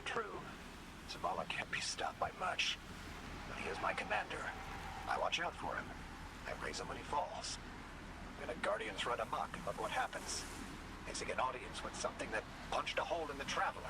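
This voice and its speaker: A devoted machine companion from a video game, earnest and anguished. The voice swings widely in pitch with theatrical feeling, over clear background ambience and noise. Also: male voice, very animated, normal speed, long pauses. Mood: worried, devoted.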